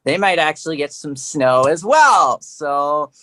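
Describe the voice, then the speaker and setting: A man's voice: fairly high pitch, lively intonation, measured pace, sharp tone. A man giving casual weather commentary in rambling, loosely linked phrases.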